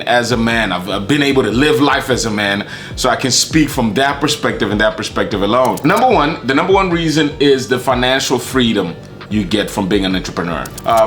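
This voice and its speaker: A man's voice: lowish voice, a bit bright. A man from Kenya giving a casual, conversational monologue as host of his channel, often repeating words and restarting sentences. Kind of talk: casual talking-head monologue.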